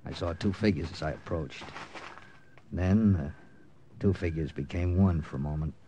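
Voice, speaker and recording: A man's voice: sits low, normal speed, warm tone, a little hiss or hum, lightly acted. A man acting a tough, grim American intelligence captain who narrates an old radio drama tersely. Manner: terse, hard-boiled. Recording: old radio recording with music behind.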